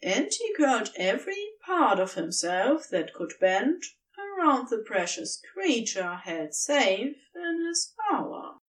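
Woman narrator, slightly high voice, animated intonation, slow measured reading, scripted fiction, off the mic. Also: distant mic. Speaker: podfic narrator.

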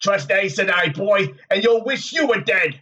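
Guy doing a old wandering bard, sardonic n urgent, pitched up, fantasy audiobook. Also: animated, measured pace, sharp tone, distant mic, dull audio, exaggerated voice. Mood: sardonic warning. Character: sardonic burned old travelling bard giving warnings.

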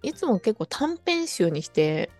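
A woman co-hosting a casual podcast chat among friends. Her voice sits at an average pitch for a woman, and light music plays softly behind her. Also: big pitch swings, measured pace. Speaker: podcast co-host and amateur voice drama writer.

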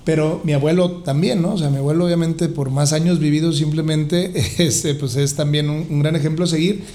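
A man's voice: a little high, fairly even intonation, fairly quick, dark tone, a little hiss or hum. A man with a Mexican accent hosting a podcast in a casual, jovial, joking way. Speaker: podcast host. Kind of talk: casual interview chat.

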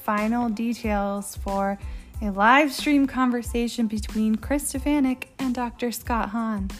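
A woman's voice: a little high, unhurried, faint music behind. A woman talking casually with her husband on a podcast, tired and wryly teasing, recorded on a phone in a living room. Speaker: podcast guest, host's wife. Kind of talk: casual chat.

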